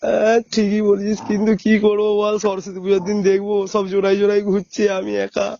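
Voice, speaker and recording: A man's voice: high voice, completely flat delivery, fast talker, vocal fry, darkish tone, no echo, dull audio. A young man chatting casually on phone calls as a prank caller, hesitant and coaxing. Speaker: prank caller, vlog host. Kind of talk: casual phone chat with friends.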